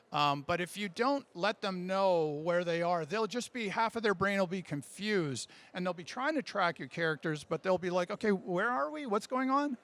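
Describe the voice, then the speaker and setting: A man giving a writing workshop, answering audience questions in an animated, informal way that often stops and restarts. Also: sits high. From Canada. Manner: animated, informal, halting, self-interrupting. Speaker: speaker giving a writing workshop, audio fiction creator. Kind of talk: conference talk question and answer.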